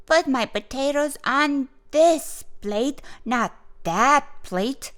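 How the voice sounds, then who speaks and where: A stubborn little schoolgirl, played by a woman reading a storybook, high and very swoopy in pitch. She is bossy and indignant, and she lands on her words with commanding emphasis. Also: big pitch swings, takes it slowly, fairly bright tone, exaggerated voice. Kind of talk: children's storybook read-aloud.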